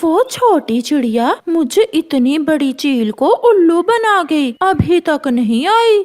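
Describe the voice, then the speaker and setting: Woman playing crafty hungry eagle lady in kids cartoon, high voice, slow coaxing talk. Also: sing-song, darkish tone, heavily acted. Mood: scheming, greedy. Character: big hungry sly female eagle, falsely kind. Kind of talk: children's cartoon voice acting.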